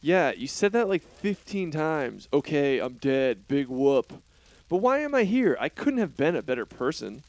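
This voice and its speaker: A man voicing a bratty, boastful, self-absorbed teenage rich-boy hero in an animated comedy, whining and bragging with sudden loud outbursts. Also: on the high side, measured pace. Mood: mocking.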